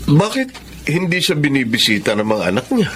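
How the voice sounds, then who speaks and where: A man's voice: a little high, sing-song, brisk, fairly bright tone, slight background noise. An actor playing a polite, respectful young father in a Tagalog radio drama. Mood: curious.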